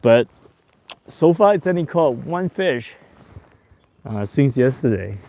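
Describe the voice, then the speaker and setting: Relaxed chatty man in his forties. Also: mid-range voice, takes it slowly, vocal fry. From Taiwan and Australia. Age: 40s. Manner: relaxed and chatty, with bursts of excitement when a fish bites. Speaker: fishing show host. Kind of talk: casual livestream while fishing. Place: on a boat on a lake, outdoors.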